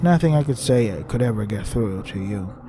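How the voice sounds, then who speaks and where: A man's voice: fairly low pitch, animated, moderate pace, dark tone, soft background music, voice pushed down, lightly acted. A man voicing a scornful visual novel narrator who taunts a captive in a wordy, haughty, sarcastic way.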